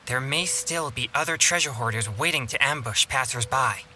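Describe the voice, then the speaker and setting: An actor playing an earnest, observant young exorcist in a video game, reasoning things out calmly. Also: male voice, medium pitch, normal speed, slight background noise.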